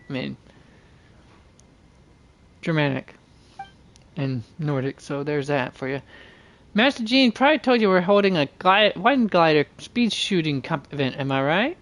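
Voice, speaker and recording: A man's voice: a little high, fairly bright tone. A man hosting a game livestream, reading dialogue aloud and commenting casually, often stumbling and correcting himself. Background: game music.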